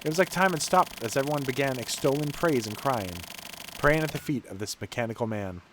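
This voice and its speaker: Male narrator, mid-range voice, reads story text with measured drama; noticeably noisy recording. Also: medium pitch. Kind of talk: dramatic read-aloud of game narration.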